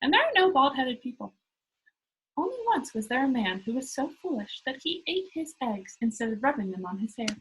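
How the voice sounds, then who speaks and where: A woman's voice: high voice, sing-song, fairly quick, fairly bright tone, slightly rough, heavily acted, poor audio. A woman voicing a cheerful, bossy young storybook girl who tells tall tales in an exuberant, emphatic way. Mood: boastful. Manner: exuberant, matter-of-fact, emphatic. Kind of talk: children's storybook read-aloud.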